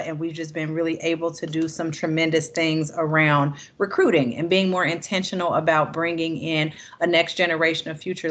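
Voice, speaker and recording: A woman with a lowish voice hosts a panel over a video call. She talks in a friendly, conversational way, tossing in upbeat asides as she leads into her questions. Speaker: session host.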